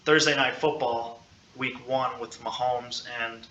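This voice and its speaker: Bright, forceful male podcast host in echoey room. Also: mid-range voice, steady tone, unhurried, muffled recording, a little hiss or hum. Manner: playful, teasing hype. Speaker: host of a school sports podcast. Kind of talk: casual sit-down sports interview. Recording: roomy, echoey sound.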